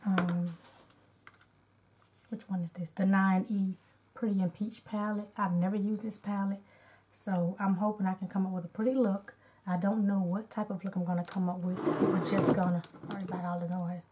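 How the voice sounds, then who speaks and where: A woman with a slightly hoarse, congested voice, chatting casually as a beauty vlogger and narrating each step of an unedited makeup tutorial. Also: mid-range voice, warm tone, slight background noise.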